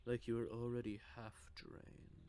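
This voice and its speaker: A man voicing a cocky, possessive male vampire who teases with soft whispered asides, in an audio roleplay. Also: medium pitch, monotone, a little slow, clear, resonant tone, close to an everyday voice. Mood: teasing. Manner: teasing, smug, with soft whispered stretches.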